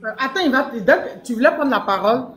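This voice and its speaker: A woman moderating a live discussion, quickly handing out speaking turns and telling people to wait. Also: high voice, expressive, a bit bright, reverberant, slight background noise, poor audio. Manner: directive, quick turn-giving.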